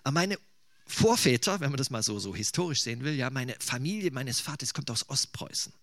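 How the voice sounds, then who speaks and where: A man's voice: mid-range voice, lively intonation, brisk, fairly bright tone, dry recording, clean studio sound. A man from eastern Germany preaching in a conversational, musing way, with casual asides. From Thuringia, eastern Germany. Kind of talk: sermon.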